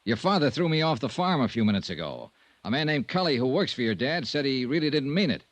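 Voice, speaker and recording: An actor playing a dogged, wry insurance investigator in old radio noir, direct and skeptical. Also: male voice, mid-range voice, sing-song, quick pace, no echo, lightly acted. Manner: hard-boiled, direct, skeptical. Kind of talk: 1950s radio noir acting and narration.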